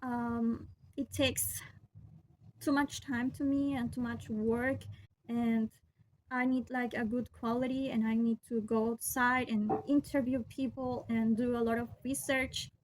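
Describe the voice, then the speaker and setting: A young woman from Peru, a Spanish speaker with a Peruvian accent, talking to her camera in careful English with many pauses and restarts. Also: fairly high pitch, flat delivery, noisy background. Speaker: vlogger. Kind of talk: casual talk to camera.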